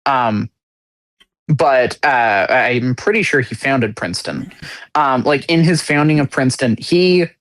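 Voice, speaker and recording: Halting man thinking aloud on a podcast. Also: mid-range voice, expressive, a bit bright, poor audio. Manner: halting, searching for words with many restarts. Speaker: podcast co-host. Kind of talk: casual podcast conversation.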